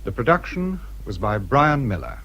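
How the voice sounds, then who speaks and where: A British man reading scripted radio credits as an announcer, in a measured, formal manner. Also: mid-range voice, big pitch swings, fairly bright tone, slightly rough, muffled recording.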